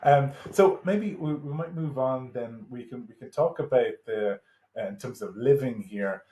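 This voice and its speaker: A man with an Irish accent hosting a casual interview, asking questions in a hesitant, halting way. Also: medium pitch, warm tone. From Ireland. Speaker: interviewer, host. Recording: echoey room sound.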